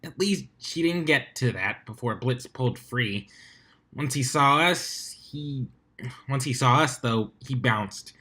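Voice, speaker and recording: A man voicing a brash female pegasus stunt flyer, gossiping in excitable slang for an amateur fan fiction reading. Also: mid-range voice, takes it slowly. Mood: gossipy, excited. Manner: excitable, slangy storytelling with many likes.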